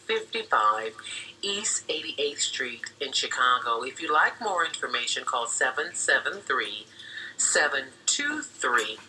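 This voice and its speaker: A woman's voice: very deep voice, big pitch swings, a little slow, pushed and bright, slight background noise, low-quality recording. An American woman hosting a gospel music radio show as an announcer, with upbeat, cheerful DJ patter. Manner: cheerful, greeting listeners and reading dedications. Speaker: radio announcer and guest host. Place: radio studio. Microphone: broadcast studio microphone. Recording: radio aircheck with music underneath.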